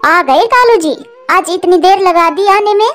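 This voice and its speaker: A woman voicing a sharp-tongued, doting mother crow who nags indignantly in a cartoon. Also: very high-pitched, animated, measured pace, faint music behind, heavily acted. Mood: snippy. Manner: indignant, nagging. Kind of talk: Hindi cartoon voice acting.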